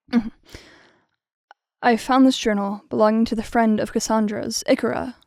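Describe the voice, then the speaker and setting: A woman's voice: fairly high pitch, vocal fry, a little mellow, no echo, studio quality. A woman playing a studious, curious, uneasy archive researcher narrating a log in an audio drama.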